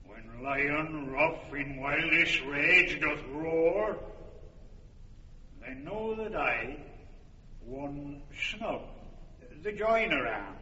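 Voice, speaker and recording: An actor playing a timid joiner who plays a lion, reciting in a careful sing-song in a classic radio drama. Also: male voice, on the high side, animated, extremely slow, long pauses, sharp tone, heavily acted. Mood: reassuring. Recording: distant, roomy, dull broadcast.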